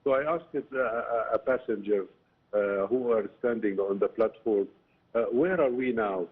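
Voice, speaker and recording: Husky Egyptian man on a phone-like line. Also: fairly low pitch. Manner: halting, explanatory, often says "you know". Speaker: political science professor, interview guest. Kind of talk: broadcast interview commentary. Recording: muffled, narrow phone-like line.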